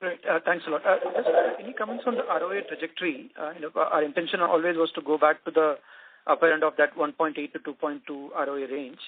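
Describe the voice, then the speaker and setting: A man with a fairly high voice asks questions as a call-in analyst. He talks fast over a thin phone line with faint noise. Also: fairly even intonation. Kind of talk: quick question on an earnings call.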